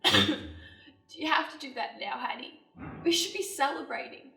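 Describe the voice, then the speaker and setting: A young woman playing an ailing, smug brother in a stage monologue, talking in a quiet, casual drawl. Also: high-pitched, normal speed, vocal fry, full character voice. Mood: languid, pleased. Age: young adult. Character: Arthur, ailing smug brother, fond and wheedling. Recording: echoey room, distant mic, music.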